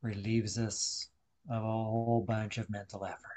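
Man, fairly low voice, meditation guide, deliberate phrasing, instructional, frequent pauses. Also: little pitch movement, dark tone. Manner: deliberate, instructional, pausing between short phrases.

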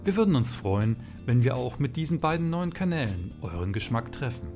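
A man's voice: mid-range voice, big pitch swings, a little fast. A man reading a scripted introduction as a channel announcer. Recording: music behind the voice.

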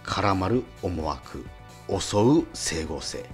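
A man hosting a radio show in casual chat, phrasing things in a halting, repetitive way. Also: sits low. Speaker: radio host. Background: faint music bed.

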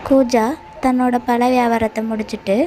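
A woman narrating a cartoon story in an even, storytelling manner. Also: fairly high pitch, normal speed. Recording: light music bed.

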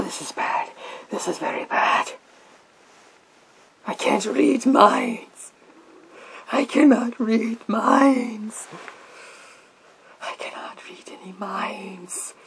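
A man playing a menacing, theatrical psychic warrior in a breathy whisper for ASMR roleplay, speaking slowly through a gas mask. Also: extremely high pitch, a bit bright, exaggerated voice. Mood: dismayed. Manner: slow, drawn-out, theatrical delivery. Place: small room lined with bookshelves. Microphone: speaking through a gas mask, close to camera.

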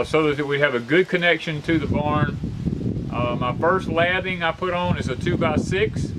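An older man explaining barn roofing work in a plain, practical way, filling pauses with "uh", recorded outdoors on a farm. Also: a little high, bright, forceful tone, a little hiss or hum, rough recording. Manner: plainspoken, practical, frequent uh fillers. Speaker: homesteader vlogger. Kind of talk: casual how-to explanation. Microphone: camera on tripod at medium distance.

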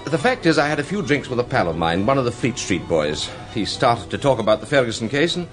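Guy acting chummy english businessman, a bit sheepish, lively mid voice. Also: medium pitch, quick pace, soft background music, natural voice. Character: affable English businessman, frank and slightly sheepish. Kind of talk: radio drama acting.

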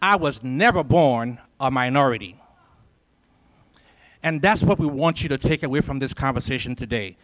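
A man's voice: medium pitch, normal speed, fairly bright tone. A man in his forties from Liberia, giving a rousing motivational speech to students with a handheld microphone in a large lecture hall, in a preacher-like cadence full of emphatic repetition. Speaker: symposium speaker.

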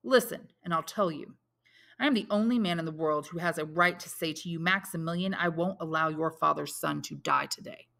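A woman voicing a calm, resolute older Count from a storybook, speaking in a measured, firm, authoritative manner. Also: low voice, creaky. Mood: commanding.

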